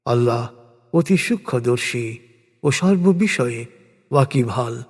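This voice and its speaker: A man narrating translated scripture from a script in a solemn, formal, measured way with deliberate pauses. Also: mid-range voice, animated, breathy edge. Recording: long reverberant hall-like echo.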